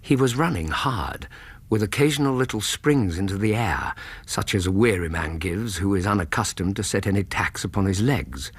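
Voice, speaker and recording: An actor playing a middle-aged Victorian English gentleman narrating a radio drama in a measured, literary way, with a husky voice. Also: lowish voice, animated. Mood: measured, vivid.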